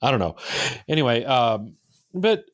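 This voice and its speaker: A man's voice: medium pitch, big pitch swings, measured pace. A man co-hosting a podcast, chatting casually and informally about games. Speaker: podcast co-host. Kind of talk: casual gaming chat.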